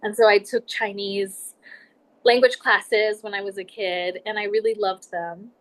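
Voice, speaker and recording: A woman in her forties from Nebraska, a podcast guest telling her life story in a reflective, measured way. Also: mid-range voice, steady tone, low-quality recording. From United States, Nebraska. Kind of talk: casual interview talk.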